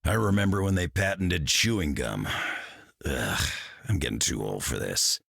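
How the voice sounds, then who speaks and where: An actor playing a gruff, weary old mutant brawler in a video game, with a gravelly growl and grumbling, folksy slang. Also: male voice, extremely low pitch, normal speed, a bit bright, no room sound, studio quality, exaggerated voice. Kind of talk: video game character lines.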